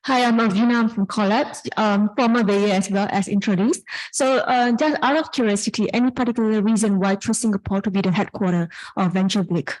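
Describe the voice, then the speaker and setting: A woman's voice: medium pitch, fairly even intonation, brisk, darkish tone, low-quality recording. A woman in the audience introducing herself and politely asking a speaker a question.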